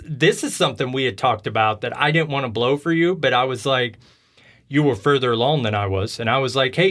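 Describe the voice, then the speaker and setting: A man's voice: medium pitch, fairly bright tone. A man talking casually and with strong opinions as a podcast host discussing video games, telling stories informally.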